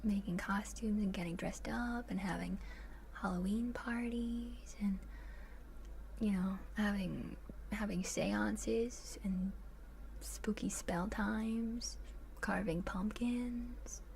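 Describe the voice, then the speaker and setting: A woman chatting casually in a soft, breathy voice that often drops to a whisper, in a gentle ASMR livestream style with hesitant musing. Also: medium pitch, fairly even intonation, slow, a little hiss or hum, poor audio. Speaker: ASMR live streamer. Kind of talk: soft ASMR chat with viewers, much of it whispered.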